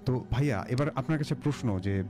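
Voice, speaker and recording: A man's voice: mid-range voice, brisk. A man hosting a conversational interview, often murmuring acknowledgements. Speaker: host interviewing a guest. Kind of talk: conversational interview on a ghost-story show. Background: music.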